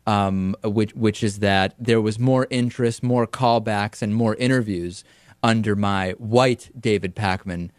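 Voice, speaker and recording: A man's voice: fairly low pitch, steady tone, a little slow, no room sound. A young man born in Argentina whose first language is Spanish, hosting a news commentary show in a studio with an emphatic, explaining manner. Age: young adult. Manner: emphatic, explaining with hand gestures. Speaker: talk show host. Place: TV studio set, indoors. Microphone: studio mic on a stand in front of him.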